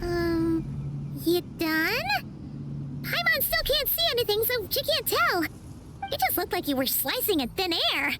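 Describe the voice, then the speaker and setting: A woman's voice: very animated, a little slow, background noise, full character voice. A woman voicing a tiny, chatty, exasperated childlike fairy companion in an anime-style video game. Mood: teasing. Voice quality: squeaky, childlike. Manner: speaks of herself in third person. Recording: game music under the voice.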